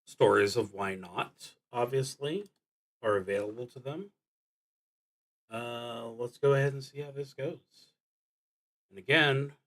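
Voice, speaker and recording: A man streaming a solo tabletop roleplaying game, thinking aloud in a halting, deliberate way with pauses mid-thought. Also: on the low side, a bit bright, low-quality recording.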